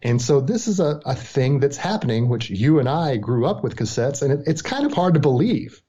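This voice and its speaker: Man, middle-aged, mellow timbre, dull lo-fi recording, podcast co-host, measured explanatory chat. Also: sing-song, husky. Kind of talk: casual sports and culture commentary. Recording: dull, low-fidelity audio.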